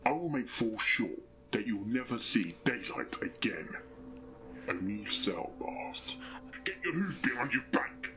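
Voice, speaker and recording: A man voicing a stern male pony detective who speaks with deliberate, accusatory authority in a fan fiction reading. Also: mid-range voice, animated, background noise, lightly acted. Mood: stern, commanding.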